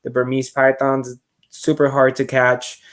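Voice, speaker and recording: A man's voice: medium pitch, monotone, unhurried. Easygoing-phrased man from Puerto Rico, chatting. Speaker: podcast guest, reptile keeper. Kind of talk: casual chat.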